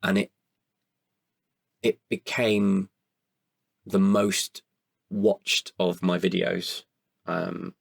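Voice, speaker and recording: A man with a creaky voice in a candid podcast conversation, speaking hesitantly and often correcting himself. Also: low voice, level tone, no echo, studio quality. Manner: hesitant, halting with self-corrections. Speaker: writer-performer guest.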